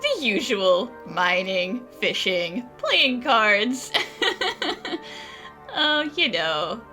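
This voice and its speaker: A woman's voice: medium pitch, very animated, drawn-out words, bright, forceful tone, soft background music. A woman streamer giving casual, playful, chatty commentary as she answers game characters aloud. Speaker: gaming streamer. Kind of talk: casual reactive gameplay commentary.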